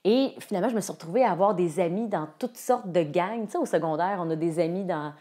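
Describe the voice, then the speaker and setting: A woman's voice: fairly low pitch, quick pace, mellow. A woman in her thirties with a Quebec French accent, a coach sharing personal stories and presenting a course to viewers. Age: 30s.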